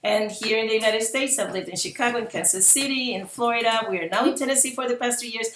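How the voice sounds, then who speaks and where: A woman's voice: on the high side, quick pace, fairly bright tone, a little hiss or hum. A woman, giving an earnest public presentation as a parent advocate and repeating phrases for emphasis. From Puerto Rico. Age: 50s. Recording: roomy echo.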